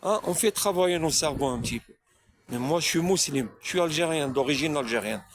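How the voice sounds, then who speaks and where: A man's voice: fairly high pitch, expressive, a little fast. A man born in France giving a spontaneous religious monologue, preaching and throwing rhetorical questions at his viewers, repeating himself.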